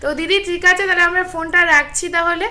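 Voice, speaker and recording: A woman's voice: very high voice, steady tone, quick pace, sharp tone. A woman vlogger casually telling viewers about a prank call, hesitant with many fillers. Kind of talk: casual vlog talk to viewers.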